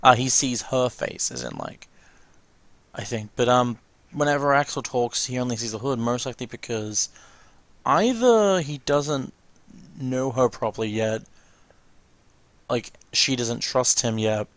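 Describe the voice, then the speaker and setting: A man's voice: medium pitch, very animated, measured pace, long pauses, fairly bright tone, no echo. A man giving casual, tentative commentary as he reacts to video game cutscenes, thinking aloud. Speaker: let's play host. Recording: faint game music behind.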